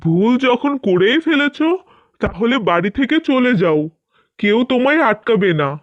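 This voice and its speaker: A man's voice: very high-pitched, animated, moderate pace, no echo, highs cut off. A man playing a cruel, haughty young rich husband in a cartoon, speaking with contempt and threat. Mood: contemptuous, threatening. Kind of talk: scripted cartoon dubbing.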